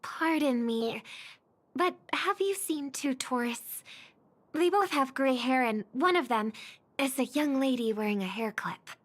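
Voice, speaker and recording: An actress playing a gentle, thoughtful young woman in a video game, speaking politely and pensively, with music behind her. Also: high voice, measured pace. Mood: courteous, warm. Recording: background music under voice.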